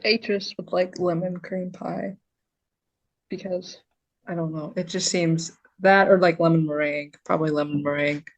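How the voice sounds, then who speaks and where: A woman's voice: fairly low pitch, steady tone, moderate pace, dull audio. A woman voice actor chatting casually as a podcast guest in a playful, informal way. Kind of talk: casual chat.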